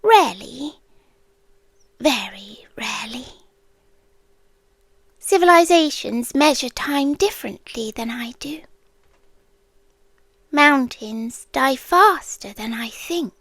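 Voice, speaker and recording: Casting: British actress as ancient female apocalypse being for ASMR roleplay, high soft whispery voice, tender yandere calm, extremely slow delivery. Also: dry recording, exaggerated voice. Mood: calm, wistful. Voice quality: soft, breathy, often whispered. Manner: slow, deliberate, with long pauses. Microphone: close microphone, dry.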